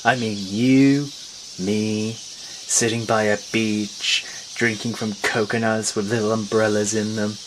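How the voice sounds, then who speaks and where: A man's voice: lowish voice, level tone, takes it slowly, noisy background, voice pushed down. A man voicing a playful, bossy newlywed wife with a coaxing, insistent manner.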